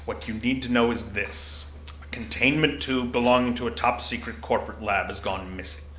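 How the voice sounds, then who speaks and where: Man acting a blunt security commander, bright echoey voice. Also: medium pitch, moderate pace, lightly acted. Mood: dry, businesslike. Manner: blunt, dry, bureaucratic. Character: gruff paunchy desk-bound security commander, middle-aged man. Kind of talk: audio drama acting. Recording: roomy echo, faint noise.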